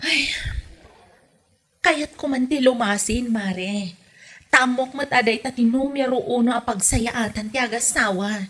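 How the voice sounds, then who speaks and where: A woman's voice: a little high, unhurried, fairly bright tone. A woman playing an exasperated young wife in a comedy drama, complaining melodramatically with a northern Philippine accent. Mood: resigned. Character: exasperated young wife fed up with her meddling mother-in-law. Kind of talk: comedy radio drama acting.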